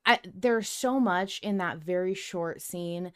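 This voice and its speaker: A woman with a fairly low, smooth voice chats as a podcast co-host. She is effusive and emphatic, swearing freely as she talks like a devoted fan. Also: takes it slowly. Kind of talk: casual fan discussion of an audio drama.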